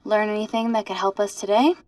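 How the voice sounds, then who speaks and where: A woman's voice: medium pitch, fairly even intonation, fast talker, a little mellow, lightly acted. An actress playing a composed woman scientist in a sci-fi film, speaking naturally with a dry, matter-of-fact manner. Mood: pointed, guarded. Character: composed woman scientist leading a high-security lab.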